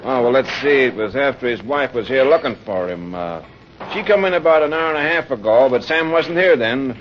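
Actor as lively, plain-spoken radio bartender. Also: male voice, mid-range voice, fairly quick, close to an everyday voice. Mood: casual. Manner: folksy, ungrammatical, hesitant asides. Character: gossipy, plain-spoken working-class male pool-room bartender. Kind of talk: 1940s radio drama character acting. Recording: muffled, noisy old radio recording.

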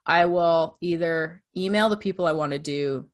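A woman with a low-registered voice, chatting casually as she walks through her podcast process step by step. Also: normal speed. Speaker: true crime podcast host. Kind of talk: casual conversation.

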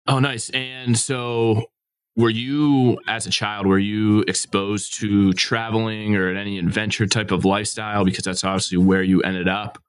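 A man's voice: lowish voice, flat delivery, moderate pace, smooth, no room sound. A man hosting a podcast, asking friendly and careful interview questions. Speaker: podcast host.

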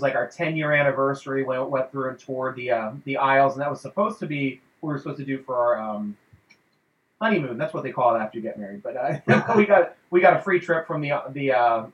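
A man's voice: medium pitch, highs cut off. A man hosting a casual fan show, rambling with lots of fillers and laughing often. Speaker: podcast host. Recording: roomy echo.

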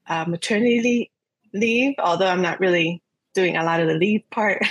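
A woman's voice: lowish voice, moderate pace, husky. A woman born in Puerto Rico and raised in Miami, a friendly podcast guest. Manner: friendly, laughs often. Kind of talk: casual video call chat.

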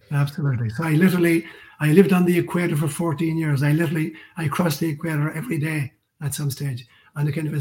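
A man's voice: a little high, darkish tone. An older man with an Irish accent, telling his story conversationally as an interview guest. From County Cork, Ireland. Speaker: guest author and priest.

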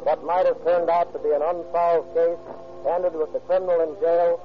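A man's voice: on the high side, steady tone, moderate pace. A man, as a police lieutenant, sums up a case in a stiff, formal reading on a 1930s radio show. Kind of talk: scripted radio narration. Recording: muffled 1930s radio recording with music.

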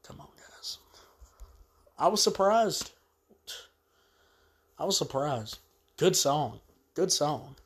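A man's voice: fairly high pitch, expressive, slow pace, long pauses, slightly rough. A man with a stuffed-up voice from allergies, casually reviewing a song as host, rambling and repeating himself in easy slang.

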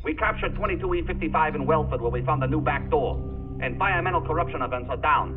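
An actor playing a dutiful operative officer in a science fiction audio drama, delivering formal, efficient status reports over radio. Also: male voice, a little high. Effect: radio transmission filter. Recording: dull radio link with faint music.

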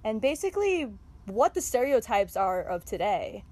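A woman's voice: on the high side, sing-song, normal speed, a little mellow. Giggly teenage girl podcast co-host. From Chicago, USA. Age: teens. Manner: giggly, chatty, lots of "like". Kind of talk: casual chat.

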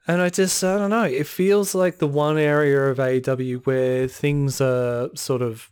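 A man co-hosting a podcast in casual chat, slightly hoarse, ranting in frustration with frequent sighs. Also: fairly high pitch, fairly even intonation, a little slow, darkish tone, no echo, clean studio sound. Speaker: podcast co-host.

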